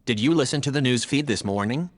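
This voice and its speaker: A man playing a logical, earnest male artificial intelligence in an audio drama, speaking in a measured, factual, formal way. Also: medium pitch, expressive, big-room sound, close to an everyday voice. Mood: earnest, matter-of-fact. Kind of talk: scripted audio drama dialogue.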